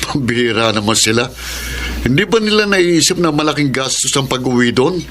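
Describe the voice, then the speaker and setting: An actor playing a scornful, bossy, tight-fisted husband in a Filipino radio drama, gruffly disapproving. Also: male voice, medium pitch, expressive, brisk, fairly bright tone, lightly acted. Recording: noisy radio drama recording.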